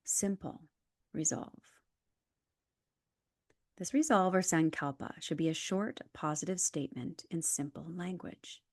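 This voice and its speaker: A woman leading a guided relaxation as a yoga nidra instructor, calm and measured, with soft, breathy phrase endings. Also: low-pitched, no echo, clean studio sound. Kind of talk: guided meditation, scripted instructions.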